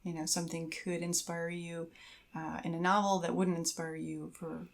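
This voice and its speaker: A woman's voice: on the low side, little pitch movement, normal speed, vocal fry. A middle-aged woman co-hosting a podcast in a casual, conversational way. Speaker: podcast co-host.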